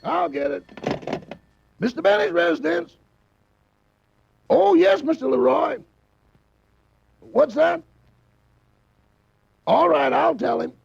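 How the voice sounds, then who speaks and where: An actor playing a dry, sly male valet in a 1950s radio comedy, with a gravelly, high, drawling voice. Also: slow, long pauses, a little mellow, full character voice. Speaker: butler character. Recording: dull lo-fi old radio recording.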